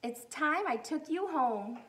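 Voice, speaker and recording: A young storybook girl, played by a woman reading aloud, earnest and affectionate. Her voice sits high and moves with lively swings, eager and fondly chiding. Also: slow, exaggerated voice. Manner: eager, fond, gently chiding.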